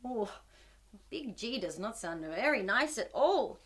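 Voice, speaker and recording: A woman with a high, very lively voice hosts a storytime for children. She speaks with exaggerated wonder, putting questions to the listeners and swooping up and down as she goes. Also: takes it slowly. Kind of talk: children's read-aloud and singalong.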